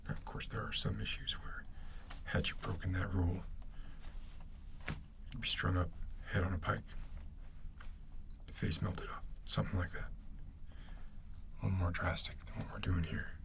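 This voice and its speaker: Smug, menacing gang leader acted by a man, low creaky murmur, mock-kindly, close-mic ASMR roleplay. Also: fairly even intonation, slight background noise. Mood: smug menace. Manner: slow, halting, intimate delivery with mock-kindly menace. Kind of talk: ASMR roleplay, soft speaking and whispering. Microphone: close ear-to-ear ASMR microphone.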